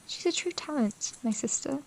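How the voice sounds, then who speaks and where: An actress playing a wistful, overlooked young sister in a period audio drama, with polite, formal and expressive speech. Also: female voice, high voice, moderate pace, creaky, slight background noise, poor audio. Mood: resigned, bitter. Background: music.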